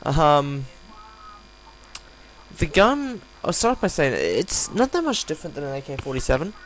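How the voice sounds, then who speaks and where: A tired man with a croaky voice gives casual, unscripted game commentary, rambling and hesitant as he reviews. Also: fairly high pitch, huge pitch swings, moderate pace, rough recording. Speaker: gaming video host reviewing a weapon. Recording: home recording with audible hum, background noise.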